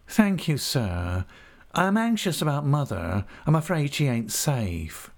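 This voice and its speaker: A man's voice: fairly high pitch, very animated, unhurried, vocal fry, pitched above the performer's own voice, full character voice. A man reading an audiobook, voicing a falsely humble, scheming young male clerk with an oily, cringing manner. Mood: whining. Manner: oily, cringing humility with a whining edge. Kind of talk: audiobook character voice.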